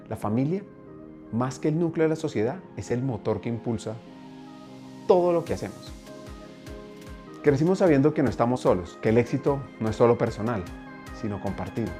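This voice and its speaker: Host: man, mid-range voice, measured emphatic scripted narration over faint music. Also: medium pitch. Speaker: podcast host. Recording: soft music underneath.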